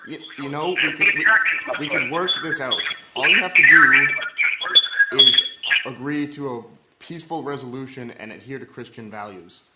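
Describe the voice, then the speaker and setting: A man's voice: mid-range voice, moderate pace, heavy background noise, close to an everyday voice. A man playing an indignant, patriotic Cold War who argues in a stammering, self-righteous way. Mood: exasperated, pleading. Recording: roomy, echoey sound.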